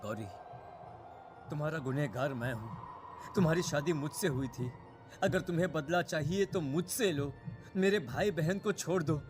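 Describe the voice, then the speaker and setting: A man voicing a guilt-ridden, protective young elder brother in a horror cartoon, pleading in a halting, melodramatic way. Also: fairly high pitch, natural voice. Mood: pleading, desperate. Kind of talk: Hindi horror cartoon voice acting. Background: music.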